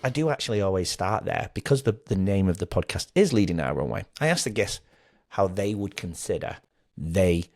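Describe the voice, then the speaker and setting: Low-voiced English podcast host. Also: male voice, lively intonation, a little fast, a little mellow, dry recording, clean studio sound. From Britain. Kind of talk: casual conversational interview.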